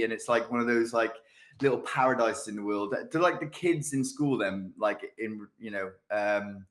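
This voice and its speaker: A man hosting a casual podcast interview, talking in a chatty, rambling way with lots of fillers and restarts. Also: lowish voice. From United Kingdom. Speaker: podcast host.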